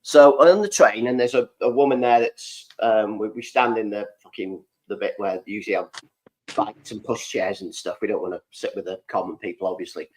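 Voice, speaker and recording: A man's voice: fairly low pitch, a little fast, low-quality recording. A man in his forties from North Wales with a border Welsh accent, hosting a casual video-call chat and telling stories with plenty of swearing. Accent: North Welsh, near the English border. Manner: swearing, storytelling, mimics voices in anecdotes. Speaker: host. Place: home room with a computer screen behind. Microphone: webcam video call.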